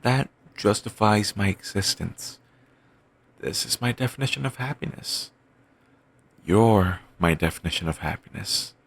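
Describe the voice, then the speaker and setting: Man playing obsessive boyfriend, soft rambling, deep voice, lively lilting delivery. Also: a little slow, creaky, full character voice. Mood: doting. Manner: soft, rambling, affectionate murmuring. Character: obsessive doting young adult boyfriend. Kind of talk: roleplay audio, bedtime comfort.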